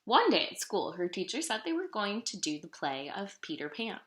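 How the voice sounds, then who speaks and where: A woman reading a children's picture book aloud in an expressive, dramatic storytelling manner. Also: medium pitch, moderate pace. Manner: animated, dramatic storytelling delivery. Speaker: storybook reader. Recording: roomy, echoing room sound.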